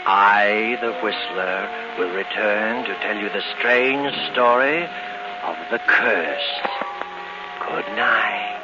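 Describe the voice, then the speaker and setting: An actor playing a sinister, mocking, all-knowing narrator in a 1940s radio mystery, deliberate and taunting. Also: male voice, fairly low pitch. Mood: ominous. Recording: muffled, hissy 1940s radio recording. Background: music.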